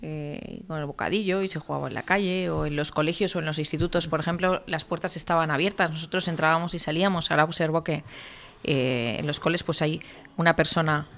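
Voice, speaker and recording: Brief: interviewer, mid-pitched voice, rambling questions full of fillers. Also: brisk, gravelly, fairly bright tone.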